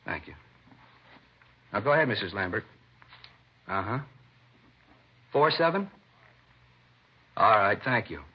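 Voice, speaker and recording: A man's voice: lowish voice, big pitch swings, takes it slowly, pauses often, breathy edge, full character voice. An actor playing a talkative, defensive American man under police questioning, conversational in a 1950s radio drama style. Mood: eager, explaining. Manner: conversational, indignant when pressed. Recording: dull vintage radio recording.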